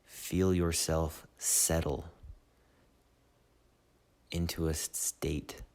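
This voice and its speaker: A man leading a guided meditation in a soothing, measured way, with long pauses between short phrases. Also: extremely low pitch, flat delivery, darkish tone. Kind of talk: slow guided meditation narration.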